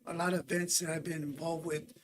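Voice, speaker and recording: A man from California, a guest on a podcast, talking haltingly with lots of "you know" and "man". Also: a little high, flat delivery, noisy background. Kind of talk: casual podcast chat.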